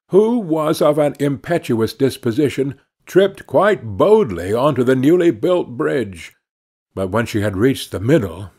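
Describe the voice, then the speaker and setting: Unhurried male storyteller with expressive melody. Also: mid-range voice, husky. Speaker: audiobook narrator. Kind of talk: scripted children's storybook narration.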